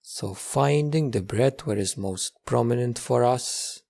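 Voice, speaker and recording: A man teaches a guided meditation in a mellow, creaky voice. He is calm and measured, offering gentle instructions at a very unhurried pace. Phrases return in slight variations, separated by long pauses. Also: mid-range voice.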